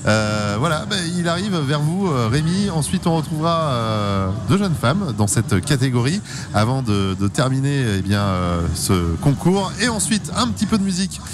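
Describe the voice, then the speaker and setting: A man's voice: a little high, very animated, normal speed, music in the background. A man hosting a live radio contest, friendly and reassuring as he introduces the candidates. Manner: friendly, reassuring, with many fillers. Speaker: radio host, contest presenter. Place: radio studio at a fair.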